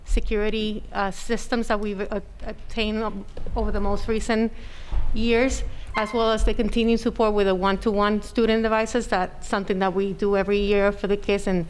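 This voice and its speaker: A woman's voice: medium pitch, little pitch movement, normal speed, slight background noise, rough recording. A woman, a district technology director, presents a report at a school board meeting, talking hesitantly with many fillers into a microphone she stands a little back from. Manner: naturally loud voice. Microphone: standing microphone, a little far off.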